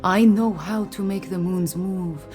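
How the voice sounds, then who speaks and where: A woman's voice: fairly low pitch, unhurried, darkish tone, faint music behind, close to an everyday voice. An actress playing a regal, mystical cat-folk queen in a video game, composed, stately and earnest. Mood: reverent, composed. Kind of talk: video game character dialogue.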